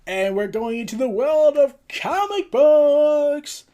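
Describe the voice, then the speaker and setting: A man hosting a casual entertainment news roundup, rambling and halting, often tripping over his words and losing his thread. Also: very high voice, lively intonation, dark tone. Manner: scattered, halting, often tongue-tied and losing track. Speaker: host of a comics and entertainment news show.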